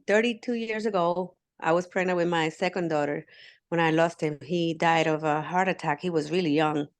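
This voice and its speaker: Low-voiced Puerto Rican woman in her fifties. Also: clean tone. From Puerto Rico. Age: 50s. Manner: warm storyteller with many "um"s, laughing often. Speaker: guest. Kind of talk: casual interview talk.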